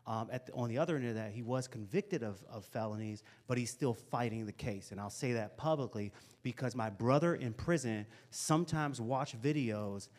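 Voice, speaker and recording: A man's voice: mid-range voice, moderate pace, hardly any pauses. Upbeat man in his forties, casual and encouraging. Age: 40s. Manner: lively, casual and encouraging, chatty asides. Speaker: motivational speaker. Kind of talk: live talk to a youth audience. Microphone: handheld microphone.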